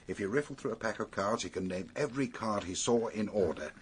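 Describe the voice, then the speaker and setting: An actor playing an authoritative, wry police superintendent in a British radio drama, setting out facts in an orderly, emphatic way with a British accent. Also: male voice, on the low side, animated, slight background noise, natural voice. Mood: wry, explanatory. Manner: measured, emphatic, expository.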